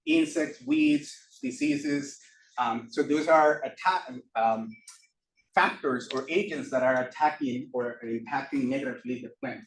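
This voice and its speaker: A man from Costa Rica giving a classroom lecture as a professor, halting with many fillers and restarts. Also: mid-range voice. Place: lecture room. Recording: echoey room from far off.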